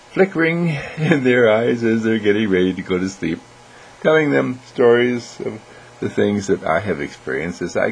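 A man voicing Mister Candle, a kindly talking candle who tells children bedtime stories in a gentle, reassuring, deliberate way. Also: deep voice, husky, exaggerated voice, low-quality recording. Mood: welcoming.

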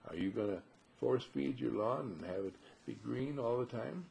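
Husky, gravelly man giving a spiritual talk. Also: mid-range voice, normal speed, warm tone. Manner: rhetorical questions, emphatic repetition. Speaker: spiritual teacher.

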